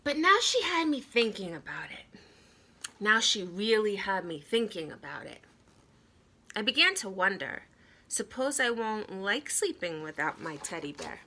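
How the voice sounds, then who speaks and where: Animated woman reading to children, bright voice. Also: mid-range voice, measured pace, slight background noise. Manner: expressive, addressing young listeners. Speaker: teacher reading a picture book aloud. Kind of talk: animated children's story read-aloud.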